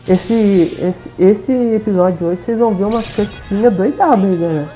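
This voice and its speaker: A woman's voice: medium pitch, normal speed, warm tone, soft background music, poor audio. A Brazilian woman giving casual let's play commentary on a video game. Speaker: gameplay commentator.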